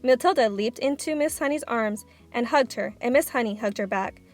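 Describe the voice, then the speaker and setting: A woman narrating a children's book aloud in an expressive storytelling style. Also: on the high side, moderate pace, soft background music.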